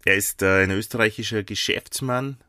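Austrian guy co-hosting a podcast, bit hoarse, bright voice, dry close mic, lots of ähs. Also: lowish voice, normal speed, no echo. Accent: Austrian German. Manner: chatty, playful, filler-heavy. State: slightly hoarse from a sore throat. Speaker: podcast co-host. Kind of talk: casual podcast chat.

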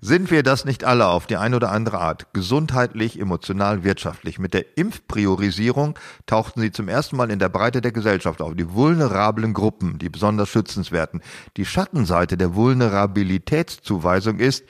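A man's voice: on the low side, animated, fairly quick. A man co-hosting a podcast about his own book, chatting casually and reading passages aloud in a dry, sardonic manner with some crude words. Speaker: author and podcast co-host.

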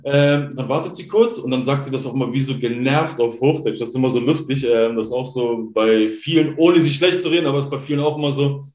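A man's voice: mid-range voice, fast talker, hardly any pauses, darkish tone. A man in his thirties from Germany, telling stories as an interview guest in a rambling, chatty way with many fillers. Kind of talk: casual interview chat. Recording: roomy echo.

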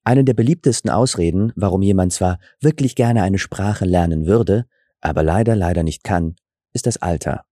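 A man's voice: low-pitched, moderate pace, dark tone, no echo, studio quality. A man who grew up in Germany with Russian as his first language, hosting a reflective, explanatory solo podcast with self-deprecating asides. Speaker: podcast host. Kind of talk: scripted solo podcast talk.

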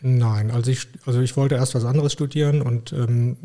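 A middle-aged man from Germany, a physician and podcast guest, talking in a relaxed, thoughtful way with frequent hesitations. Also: medium pitch, moderate pace. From Koblenz, Germany. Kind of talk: casual interview talk.

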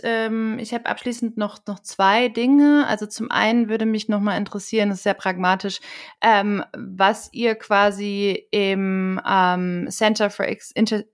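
A woman hosting a podcast, moderating an interview in a conversational way and sometimes searching for words. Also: mid-range voice. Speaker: podcast host.

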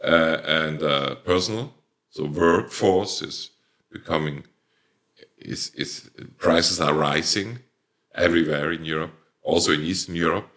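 A man's voice: a bass voice, unhurried, a bit bright. A man with a Swiss German accent, speaking English as a later language, answering interview questions hesitantly with many fillers and restarts. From Switzerland. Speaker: plant producer, company owner.

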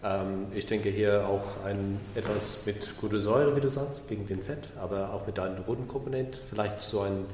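Guest, male sommelier, hesitant delivery, lower voice, roomy reverb. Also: steady tone, darkish tone. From South Africa. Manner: hesitant, halting with fillers. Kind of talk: casual expert chat. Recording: echoey room, faint background noise.

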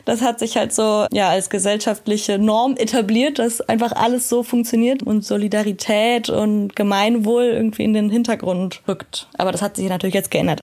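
A woman's voice: medium pitch, fairly even intonation, moderate pace, few pauses, darkish tone. A woman guest speaking fluently and in detail, explaining things with occasional hesitations. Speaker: guest expert. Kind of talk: explanatory conversation.

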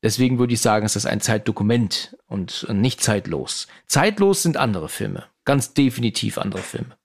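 A man in his forties, a guest on a podcast, talking in a hesitant way with many pauses and filler sounds. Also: on the low side, expressive, creaky, husky. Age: 40s. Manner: hesitant, many "ähm" pauses, easy laughs. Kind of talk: casual podcast conversation.

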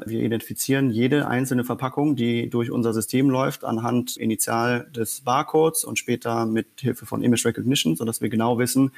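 Man, startup co-founder, gravelly fry, mid pitch, fluent explanatory talk. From Germany. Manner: fluent, explanatory, some fillers. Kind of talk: podcast interview guest.

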